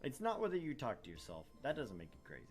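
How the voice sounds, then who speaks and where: A man's voice: mid-range voice, wildly swooping pitch, fast, soft background music. A man reading a visual novel aloud as narrator, dropping in wry, joking casual banter. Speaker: let's play host narrating a visual novel.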